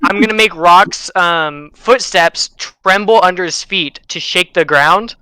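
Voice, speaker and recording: A man playing a tabletop role-playing game, chatting casually with plenty of swearing, insistent and excitable. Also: high voice, a little slow, clear, resonant tone, no echo, rough recording. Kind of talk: casual, profane game chat.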